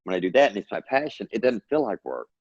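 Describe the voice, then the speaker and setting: A low-voiced man with a drawl talks earnestly in a rambling, encouraging way. His voice comes over a dull, lo-fi video call and sounds dry and close. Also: very animated, fast, slightly rough, no room sound. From Texas. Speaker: guest author. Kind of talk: casual interview talk.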